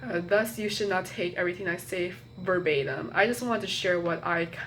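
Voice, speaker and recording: Young woman, low voice, chatting to a phone. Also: fairly quick, slight background noise. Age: young adult. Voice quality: slightly nasal. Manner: hesitant, apologetic, many fillers and restarts. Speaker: college student vlogger. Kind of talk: casual rambling chat to camera. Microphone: phone camera.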